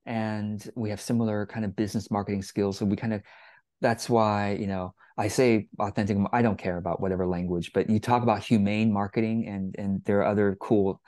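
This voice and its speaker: A middle-aged man hosting a casual interview on a video call, talking with warmth and enthusiasm from a room at home through an earphone mic. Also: fairly low pitch, level tone, brisk, dry recording, clean studio sound. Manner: warm, enthusiastic, gestures a lot while talking. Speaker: host.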